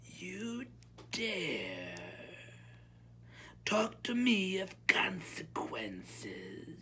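A woman voicing a cold, menacing old witch in a storybook, with slow, hissing, breathy and deliberate delivery. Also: lowish voice, wildly swooping pitch, sharp tone, voice pushed up, full character voice.

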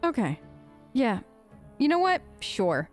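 High, lively woman role-playing through a game. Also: slow, faint music behind. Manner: hesitant, conversational, questioning. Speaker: let's play host. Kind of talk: casual improvised role-play game commentary.

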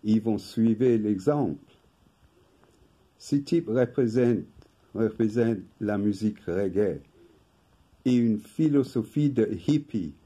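An older man, native English speaker from England, reading a prepared talk from paper in a slow, measured way at home. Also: on the low side, dark tone, slightly rough, rough recording. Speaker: video host. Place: home room with bookshelf.

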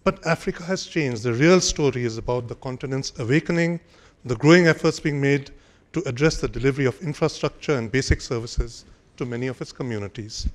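Older man, conference speaker, podium microphone, formal speech, measured with fillers. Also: medium pitch. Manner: measured, with frequent fillers and restarts. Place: large conference hall stage.

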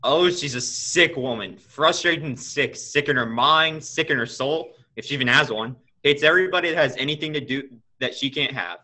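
A man's voice: mid-range voice, normal speed, hardly any pauses, pushed and bright. A young man playing a tired, principled, kindly father in a radio play. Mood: weary, gentle. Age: young adult.

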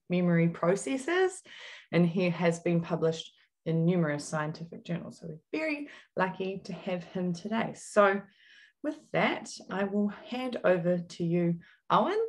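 A woman hosting a webinar on a video call, explaining the Q and A and introducing the speaker. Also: on the low side. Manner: friendly, instructive, some fillers. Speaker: webinar host. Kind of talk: event housekeeping and speaker introduction. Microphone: computer mic on video call.

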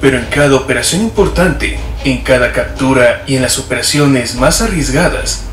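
A man's voice: medium pitch, normal speed. A man reading a scripted documentary narration in a grave, formal manner. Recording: roomy, distant, played back with music.

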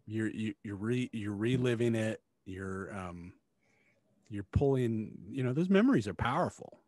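A man's voice: fairly low pitch, expressive, unhurried, darkish tone, no room sound. A middle-aged man co-hosting a casual book review podcast, blunt and skeptical, swearing as he talks. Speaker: podcast co-host. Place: indoor room, remote video call.

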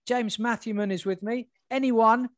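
Upbeat high-voiced podcast host. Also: male voice, steady tone, no room sound, studio quality. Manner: upbeat, brisk presenter reading plugs. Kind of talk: podcast host introduction and interview. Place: home kitchen, indoors. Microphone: desk microphone, webcam framing.

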